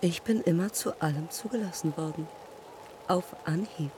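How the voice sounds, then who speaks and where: A woman's voice: on the low side, normal speed, noisy background, natural voice. An actress playing a proud yet self-doubting woman aviator in a poetic radio play, confessing in clipped, terse fragments. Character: heroic, self-doubting young woman aviator who took her own life.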